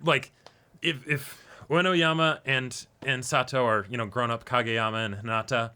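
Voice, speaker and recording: A man's voice: mid-range voice, steady tone, moderate pace, bright, forceful tone, smooth. A man co-hosting a casual discussion show, speaking emphatically and repeating words for effect. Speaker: co-host of an anime reaction show. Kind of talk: casual discussion of an anime episode.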